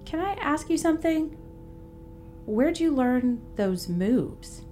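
A woman's voice: high-pitched, big pitch swings, slow pace, mellow, slight background noise, full character voice. A woman playing a friendly, curious innkeeper in an audio drama.

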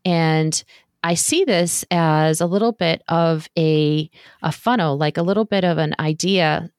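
A woman's voice: sits low, unhurried, a little mellow, no room sound, studio quality. A woman in her forties, a podcast producer, talking in an upbeat, earnest way in a casual interview. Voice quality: sweet-sounding voice.

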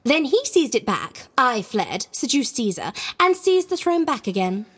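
A woman's voice: on the high side, sing-song, unhurried, a bit bright, slightly rough, full character voice. A woman playing a bored, ruthless young Egyptian queen in a comic audio drama, with a giggly, theatrical, flippant delivery.

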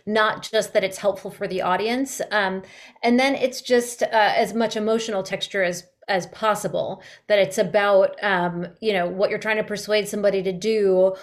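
Woman in an interview, medium pitch, kinda hesitant, thinking it through as she talks. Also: fairly even intonation, normal speed. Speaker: audio drama artist and interview guest. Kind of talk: thoughtful conversational interview.

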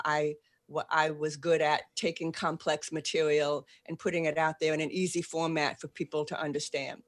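A woman's voice: on the low side, dry recording. A woman in her sixties with a Boston accent, an author telling her story in an interview in a plain, matter-of-fact way. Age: 60s. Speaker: author guest. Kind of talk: conversational interview answers.

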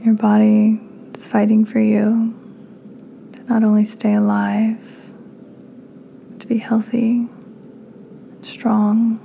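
A woman hosting a guided meditation in a soft, breathy, near-whispered voice, calm and soothing with unhurried pauses. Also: a little high, slight background noise. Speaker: podcast host, meditation guide.

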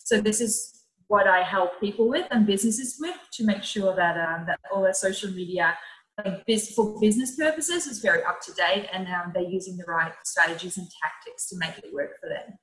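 Bright-voiced woman, chatty guest. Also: medium pitch, normal speed, rough recording. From Netherlands. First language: Dutch. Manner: chatty, cheerful. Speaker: podcast guest, social media coach. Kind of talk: casual explanatory interview talk.

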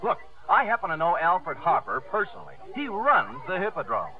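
An actor playing a brash, confident young showman and promoter in a 1950s radio drama, pitching insistently like a salesman. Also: wildly swooping pitch, normal speed, faint music behind. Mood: brash, eager. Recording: tinny, band-limited 1950s radio recording.

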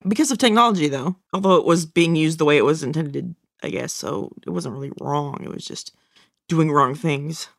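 A woman's voice: low-pitched, moderate pace, gravelly, no echo, clean studio sound. A woman co-hosting a podcast, giving blunt, joking opinions in casual chat. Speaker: podcast co-host.

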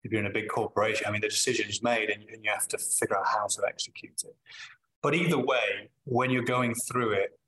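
A middle-aged man with a Manchester accent, a guest in an interview, answering thoughtfully with frequent pauses and restarts. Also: on the low side, steady tone, pushed and bright, rough recording. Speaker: business leader guest.

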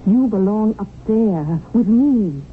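An actress playing a poised, scheming, seductive widow in a 1970s radio drama, with a self-assured, insistent manner. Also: female voice, mid-range voice, animated, slow. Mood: seductive, urging. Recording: tinny, noisy old radio broadcast.

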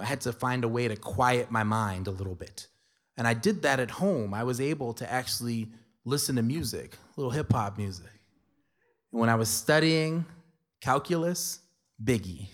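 A middle-aged man from New York tells a personal story in a conversational, emphatic way, tossing in playful asides. His voice sits at an ordinary middle pitch. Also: a bit bright. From New York City.

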